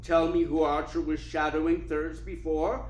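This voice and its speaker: An officious district attorney acted by a man in a radio drama. His voice is on the high side, formal and insistent, with a self-important air. The recording has a roomy echo. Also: flat delivery, normal speed, darkish tone, close to an everyday voice. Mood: pressing. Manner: formal, pressing, pompous. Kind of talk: radio play acting. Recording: echoey room.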